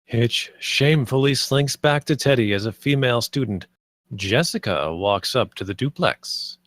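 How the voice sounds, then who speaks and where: A man's voice: medium pitch, lively intonation, moderate pace, fairly bright tone, dry recording. A man reading stage directions as the narrator at a screenplay table read.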